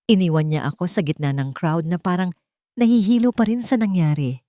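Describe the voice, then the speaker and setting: A woman's voice: on the low side, a little fast, dark tone, no echo. A woman narrating a first-person love story in an emotive, sentimental way.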